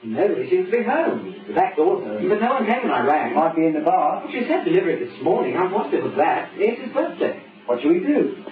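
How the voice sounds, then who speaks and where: A man's voice: high voice, very animated, fast, hardly any pauses, phone-quality sound, crowd noise. An actor playing a polite male caller in an old-time radio drama. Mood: puzzled, businesslike. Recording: distant, echoey, very noisy old radio recording.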